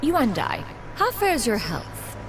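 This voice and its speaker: A woman's voice: fairly high pitch, huge pitch swings, takes it slowly, noisy background, full character voice. An actress playing a dignified, courteous immortal woman in a video game, with stately, formal phrasing. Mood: courteous concern. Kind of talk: scripted video game acting. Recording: game music behind the voice.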